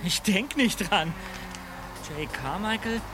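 Cheeky teen sleuth, bouncy intonation, casual cocky talk, radio play with background noise. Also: male voice, high voice, animated, slow. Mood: defiant. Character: bold, cheeky, sporty teenage boy detective. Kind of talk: German audio drama acting.

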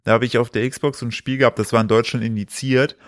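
A man's voice: medium pitch, fairly even intonation, quick pace, dry recording, clean studio sound. Teasing joking man podcaster. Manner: joking, teasing, explains his own jokes. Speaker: podcast co-host. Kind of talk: casual chat, storytelling.

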